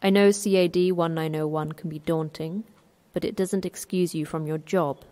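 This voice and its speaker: Casting: woman as stern female manager of a secret facility, lowish voice, curt, commanding, horror podcast. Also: steady tone, moderate pace, dark tone, natural voice. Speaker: actor in sci-fi horror audio drama. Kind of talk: audio drama acting.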